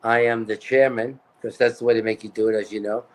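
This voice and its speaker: A man's voice: fairly low pitch, fairly even intonation, quick pace. A man talking as a political candidate in a casual interview, emphatic and repeating his points.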